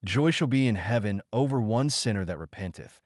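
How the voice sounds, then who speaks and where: A man's voice: lowish voice, expressive, fairly quick, darkish tone, no echo, clean studio sound. A man voicing an earnest, proud male scientist in an audiobook, speaking in a formal, emphatic way. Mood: wry, solemn.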